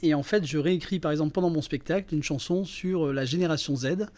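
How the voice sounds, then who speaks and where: A man's voice: on the high side, fast, a little mellow. A man in his fifties from northern France, a guest on a podcast, talking casually and hesitantly with many fillers and restarts. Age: 50s. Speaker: podcast guest, entrepreneur and performer. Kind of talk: casual interview talk.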